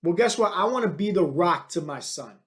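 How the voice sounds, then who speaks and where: High-voiced energetic man coaching. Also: brisk. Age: 30s. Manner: energetic, filler-heavy, many "like" and "right?"; blunt, motivational. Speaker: fitness coach and podcast host. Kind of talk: solo podcast monologue.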